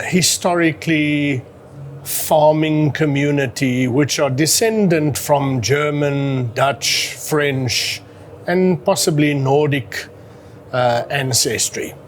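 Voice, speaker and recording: A man with an Afrikaans accent, a native Afrikaans speaker from South Africa, giving measured answers as an interview guest, pausing and clearing his throat. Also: fairly high pitch, a little hiss or hum. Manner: deliberate, clears throat, pauses with uh.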